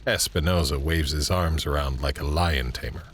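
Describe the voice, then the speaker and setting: A man narrating a scripted audio drama in a measured, descriptive way. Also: a bass voice. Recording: background ambience and noise.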